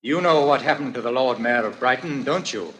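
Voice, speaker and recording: A noisy old radio recording of a man playing a volatile stage actor in a 1940s suspense play. His voice is bright and clipped, swinging dramatically in pitch with theatrical intensity. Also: animated, fairly quick, lightly acted. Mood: quiet menace. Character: weary, volatile stage actor who plays a menacing strangler.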